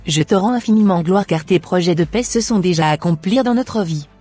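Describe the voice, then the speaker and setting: Actress as devout troubled wife, slightly low husky voice, cartoon dialogue, faint music underneath. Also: female voice, brisk, mellow, close to an everyday voice. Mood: grateful, reverent. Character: devout, troubled wife praying for her marriage.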